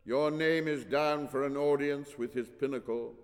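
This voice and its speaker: A man voicing an officious manservant in a storybook, loud and formal in his announcing. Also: medium pitch, steady tone, normal speed, in a large hall, pitched above the performer's own voice, natural voice. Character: officious manservant of a high priest.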